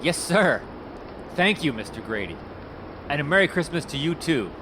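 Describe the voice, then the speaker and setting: An actor playing a folksy, fast-talking male Hollywood talent scout with a salesman's patter in an audio drama. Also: fairly high pitch, sing-song, background noise.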